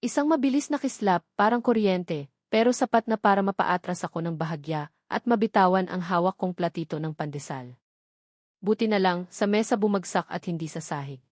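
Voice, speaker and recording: A woman with a Filipino accent narrates a first-person romance story in an emotive, dramatic way with deliberate pauses. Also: lowish voice, darkish tone, no room sound, clean studio sound.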